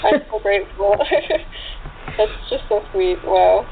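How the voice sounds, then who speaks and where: A woman's voice: mid-range voice, animated, slow pace, creaky. A woman chatting casually and effusively as an interview guest, friendly in manner. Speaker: interview guest, author and actress. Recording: tinny phone line with faint noise.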